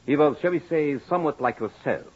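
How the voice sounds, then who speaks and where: An actor playing a sly, miserly old antique dealer, curt and sardonic, in 1940s radio horror style. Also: male voice, on the high side, a little fast, breathy edge. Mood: sly, needling. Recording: muffled noisy old radio recording.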